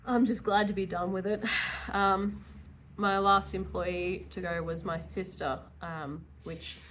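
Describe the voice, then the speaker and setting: A woman's voice: mid-range voice, fairly even intonation, unhurried, a bit bright, a little hiss or hum, rough recording. A woman in her early thirties talking casually and candidly in a rambling, chatty vlog style, with self-deprecating asides. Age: early 30s. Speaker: vlogger, self-employed dog groomer.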